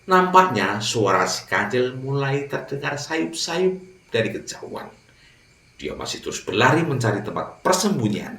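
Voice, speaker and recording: A man's voice: medium pitch, very animated, normal speed, gravelly, fairly bright tone, roomy sound, distant mic. A man narrating a fable for children as a storyteller, addressing his young listeners directly.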